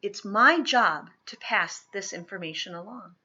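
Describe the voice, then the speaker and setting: A brave, protective storybook mother, read by a woman, speaks with steady firmness. Her voice is bright and a little raspy, each phrase deliberate. The sound is slightly dull and lo-fi. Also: medium pitch, full character voice. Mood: calm, reassuring. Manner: calm, firm, deliberate.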